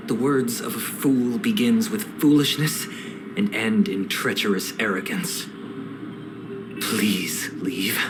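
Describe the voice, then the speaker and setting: Solemn game nobleman, low n super slow, preachy and formal. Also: noisy background. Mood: stern rebuke. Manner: formal, measured, preachy with quotations. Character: solemn, righteous, winged brother of an elite family. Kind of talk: scripted dramatic video game cutscene dialogue.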